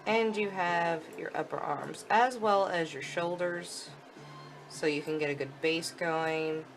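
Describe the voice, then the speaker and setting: Female game demo presenter, low register, informal and instructional, clear music bed underneath. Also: slow pace. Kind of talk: casual live walkthrough commentary.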